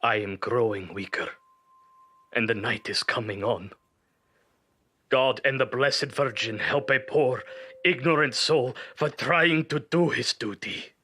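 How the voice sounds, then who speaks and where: An actor playing a devout, doomed sea captain, his husky voice strained, slow and desperate. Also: mid-range voice, sharp tone, full character voice. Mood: desperate, failing. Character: doomed, devout sea captain writing last words, weakening.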